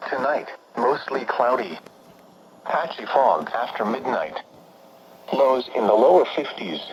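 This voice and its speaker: A synthetic male voice with an American accent reads a scripted weather forecast in stilted, robotic phrasing as an automated radio announcer. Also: medium pitch. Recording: noisy, dull weather radio broadcast. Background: steady radio background noise.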